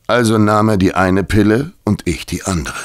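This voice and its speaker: An actor in a German audio drama playing a weary, dying London cabman who confesses with halting, grave bitterness. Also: on the low side, no echo, natural voice. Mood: grave confession.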